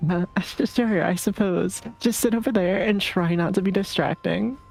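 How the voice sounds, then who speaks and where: A woman voicing a young streamer girl who sweetly performs for followers with halting, cutesy patter. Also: mid-range voice, vocal fry, a little mellow, soft background music, poor audio. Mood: polite, cutesy.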